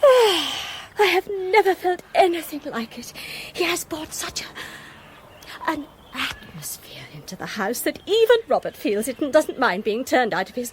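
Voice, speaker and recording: An actress playing an excitable, effusive English society lady in a radio comedy, with an upper-class accent and a confiding, emphatic manner. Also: sits high, slight background noise. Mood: awed, confiding. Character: gushing, excitable society lady besotted with her guru. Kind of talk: British radio comedy drama acting.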